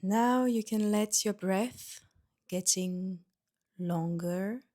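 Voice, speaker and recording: Slow-paced woman guiding a meditation. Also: medium pitch. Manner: gentle, soothing, unhurried instruction with pauses. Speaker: yoga nidra guide. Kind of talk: guided meditation.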